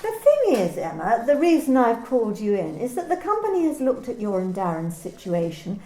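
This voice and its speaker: A cold corporate manager, clipped and controlling, as a woman acts her out, speaking in a mellow, fairly high voice that rises and falls a lot. The reading sounds echoey, as if recorded in a bare room at home. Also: wildly swooping pitch, normal speed. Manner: cool, corporate, condescending. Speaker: company manager. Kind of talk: amateur radio play reading.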